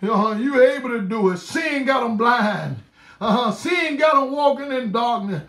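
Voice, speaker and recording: A man's voice: extremely high pitch, expressive, normal speed, fairly bright tone. A middle-aged man leading a fervent spoken prayer, pleading and calling out over and over with great passion. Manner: impassioned, pleading, repeated cries to God. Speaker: prayer leader. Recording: roomy echo.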